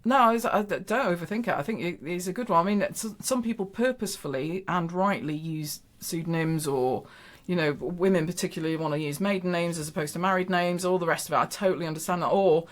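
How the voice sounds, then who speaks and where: A woman's voice: fairly low pitch, fast, hardly any pauses. Confident woman giving branding advice. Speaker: podcast guest, branding business owner. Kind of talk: casual podcast chat.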